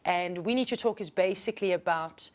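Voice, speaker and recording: A woman with a South African accent and a low register, talking casually and earnestly as a singer being interviewed. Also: brisk. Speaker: singer and musician guest.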